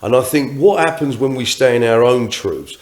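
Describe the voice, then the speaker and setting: A man's voice: medium pitch, moderate pace, darkish tone. A man co-hosting a podcast, speaking earnestly and reflectively in a casual group discussion. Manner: earnest, reflective, talks in long loose runs. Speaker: podcast co-host. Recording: roomy echo.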